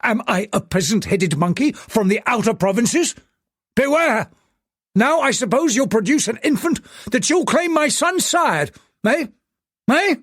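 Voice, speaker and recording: A man's voice: very high-pitched, big pitch swings, a little slow, fairly bright tone, no room sound, studio quality, voice pushed up, full character voice. A male audiobook reader voicing a furious, suspicious rich older man who rants scornfully. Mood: enraged. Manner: ranting, scornful. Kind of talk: audiobook character voice.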